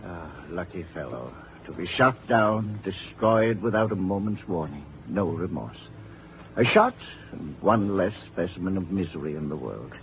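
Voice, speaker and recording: A man's voice: low-pitched, expressive, moderate pace. A bitter, boozy musician in a vintage radio play. He is florid and sardonic, tongue a little thick, intonation swooping. The sound is narrow and boxy with faint hiss. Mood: bitter, sly. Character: tipsy, bitter, washed-up concert musician in his forties. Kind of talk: 1950s radio drama acting. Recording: narrow, hissy 1950s radio recording.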